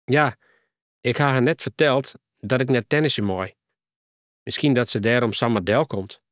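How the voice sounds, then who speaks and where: A man voicing a brisk, hospitable middle-aged mother in a storybook reading. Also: mid-range voice, steady tone, no room sound, clean studio sound, natural voice.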